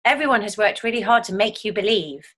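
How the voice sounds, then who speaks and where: A woman's voice: mid-range voice, fast talker, fairly bright tone. A woman in her forties giving reflective, conversational answers on a video call. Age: 40s. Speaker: stage actress on a panel. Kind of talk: reflective panel talk. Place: plain room at home, video call.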